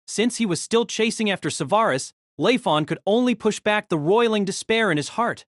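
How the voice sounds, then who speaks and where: A man's voice: high-pitched, expressive, normal speed, dry recording, studio quality. A man reading scripted, third-person narration of a fantasy story.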